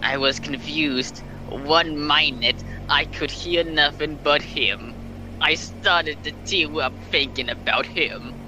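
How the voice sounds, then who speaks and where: A man's voice: on the high side, slow. A man reading a story aloud in an intense, theatrical way, breaking off for joking asides. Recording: some background noise.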